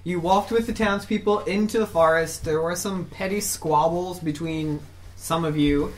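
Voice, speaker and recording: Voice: mid-range voice, fairly even intonation, moderate pace, a little hiss or hum. A game master with a Brazilian accent, from Brazil, narrating a tabletop game in a blunt, teasing way. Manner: blunt, teasing, swears freely. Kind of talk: casual game narration and banter.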